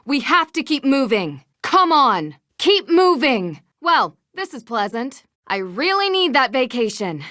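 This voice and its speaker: A woman's voice: sits high, big pitch swings, a little slow, hardly any pauses, pushed and bright, no echo, clean studio sound, exaggerated voice. A woman voicing a plucky, observant young amateur detective in a video game. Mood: curious, focused.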